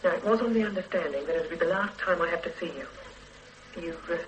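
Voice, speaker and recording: A woman's voice: on the low side, fast, slight background noise, close to an everyday voice, poor audio. A woman playing a guarded, bitter grown daughter in a radio drama, speaking in a cool, clipped, reproachful way.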